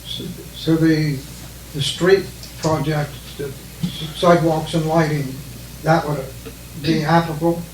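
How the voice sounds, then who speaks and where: A council member asking questions at a meeting, halting and searching for his words. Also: on the high side, steady tone, slight background noise. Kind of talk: public meeting discussion. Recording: echoey hall, distant mic.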